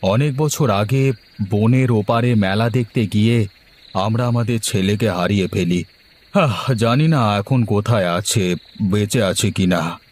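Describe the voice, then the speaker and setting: A man's voice: on the low side, a little slow, a little mellow, slight background noise, close to an everyday voice. Man playing a kindly, emotive older village householder. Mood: wistful. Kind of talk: cartoon fairy-tale acting.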